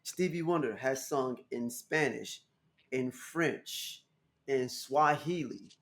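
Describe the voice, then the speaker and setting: An American man in his thirties, a guest musician telling stories in a casual interview, animated and laughing often. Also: slow pace. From United States. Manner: animated, laughing, jumps into high voice for quotes.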